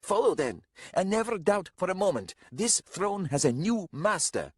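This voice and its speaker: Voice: medium pitch, big pitch swings, moderate pace, husky, no room sound, studio quality, voice pushed up. A man voicing a proud, touchy young male warrior leader who declaims in indignant, archaic speech in a story. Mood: scornful, commanding.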